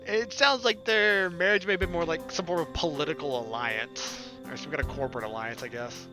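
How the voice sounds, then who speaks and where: A man's voice: a little high, fairly quick. A young man doing casual gameplay commentary and reading visual novel text aloud. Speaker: let's player. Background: game music.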